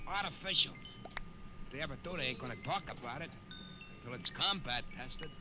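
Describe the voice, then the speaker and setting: An actor in an old-time radio drama playing a gossipy, streetwise soldier. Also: male voice, medium pitch, expressive, fairly quick, close to an everyday voice. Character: gossipy, slangy rank-and-file soldier. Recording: old radio recording, noisy.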